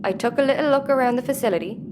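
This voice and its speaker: A woman's voice: high voice, racing, noisy background, lightly acted. A woman playing a cheeky, defiant young girl with a brash, casual manner in a sci-fi audio comedy. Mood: cocky.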